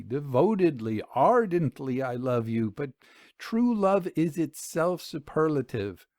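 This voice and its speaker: A man playing a lovestruck gentleman suitor in a story, speaking formally with solemn fervour. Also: fairly high pitch, no room sound, clean studio sound. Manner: solemn, ornate, fervent.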